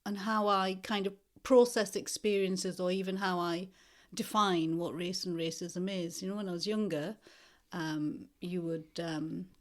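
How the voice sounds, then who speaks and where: A woman in her fifties from northeast England, a lecturer speaking as an interview guest in a thoughtful, measured way with many pauses. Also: fairly low pitch, steady tone.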